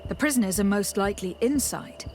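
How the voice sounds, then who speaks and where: An actress playing a composed noble envoy and leader in a video game, speaking formally and with measured authority. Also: female voice, medium pitch, lively intonation, slight background noise, natural voice. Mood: composed, commanding. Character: noble female envoy, composed young leader giving orders. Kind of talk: scripted video game voice acting.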